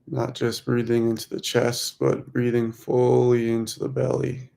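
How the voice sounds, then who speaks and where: Slow, gravelly man guiding meditation. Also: mid-range voice, monotone, warm tone. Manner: soothing, unhurried, long pauses between short phrases. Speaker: meditation guide, host of a weekly group. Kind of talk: guided meditation.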